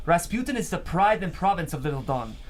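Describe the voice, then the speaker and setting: Guy doing old soviet-era scientist turned boss, stern patron, slightly high voice, bit of background noise. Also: big pitch swings, brisk. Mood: stern, measured. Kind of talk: script table read acting.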